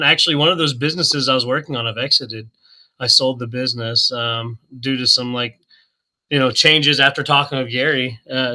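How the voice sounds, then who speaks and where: A man from Oklahoma chatting casually on a group call, telling animated stories and laughing often. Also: medium pitch, rough recording. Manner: animated storyteller, laughs often, acts out quotes. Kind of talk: casual chat.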